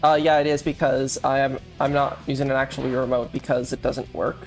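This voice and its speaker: A man casually commentating as he streams a game, in a halting, hesitant way. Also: mid-range voice, flat delivery. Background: game music.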